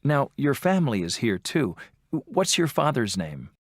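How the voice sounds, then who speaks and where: An adult voice playing an interviewer in a scripted textbook dialogue, asking friendly questions with measured delivery. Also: medium pitch, sing-song, no echo, studio quality. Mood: plain.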